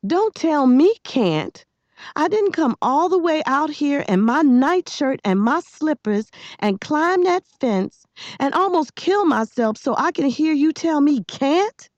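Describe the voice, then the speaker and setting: A woman reader plays a fierce young girl from a storybook, pitched high and swinging widely as she scolds. She is bossy, exasperated and emphatic, on a close, dry, slightly dull recording. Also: lively intonation, slow, a little mellow, no echo. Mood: furious, commanding.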